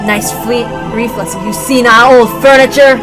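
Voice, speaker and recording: A woman voicing a vicious, supernatural young male servant in a story, loud, snarling and desperate. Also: fairly high pitch, moderate pace, close to an everyday voice. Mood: mocking, vicious. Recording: loud music behind the voice.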